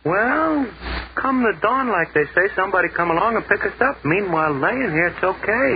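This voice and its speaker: Wide-eyed, unschooled army sergeant, high voice, colloquial and clipped, vintage radio play with clear background noise. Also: male voice, lively intonation, moderate pace. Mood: resigned. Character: plain-spoken working-class army sergeant, simple, wondering. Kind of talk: 1940s radio drama acting. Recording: noisy muffled 1940s radio recording.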